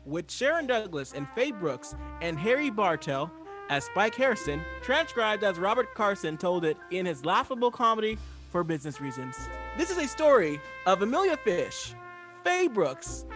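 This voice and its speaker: A man playing a grand, playful 1940s radio drama announcer with theatrical, drawn-out phrasing. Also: high voice, big pitch swings, over music.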